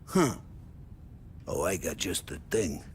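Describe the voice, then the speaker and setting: A loudmouthed jovial gunrunner. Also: male voice, sits low, sing-song, slow, a little hiss or hum, exaggerated voice. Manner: boisterous, laughs often, slangy. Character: loudmouthed male gang weapons supplier, jovial.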